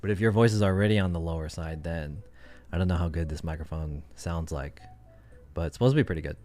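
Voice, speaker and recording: A man's voice: sits low, fast, a little mellow, soft background music. A man chatting casually on his livestream, rambling and thinking aloud with lots of fillers. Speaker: streamer.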